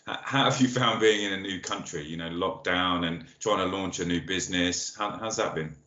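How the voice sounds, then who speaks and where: A man hosting a business podcast, introducing his guest and asking questions, quick and friendly with hesitant fillers. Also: low-pitched, pushed and bright, roomy sound, muffled recording. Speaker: podcast host. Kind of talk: podcast interview.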